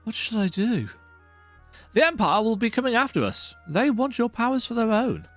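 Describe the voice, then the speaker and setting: A man's voice: very high voice, very animated, moderate pace, pitched above the performer's own voice. A man voicing earnest, urgent heroes in dramatic dialogue from a fantasy role-playing game. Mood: grave, urgent. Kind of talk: voiced game dialogue reading. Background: video game music.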